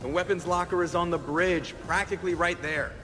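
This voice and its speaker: An actor playing a wisecracking, defensive starship pilot in a video game, with sarcastic delivery. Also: male voice, sits high, steady tone, a little fast, sharp tone, faint music behind.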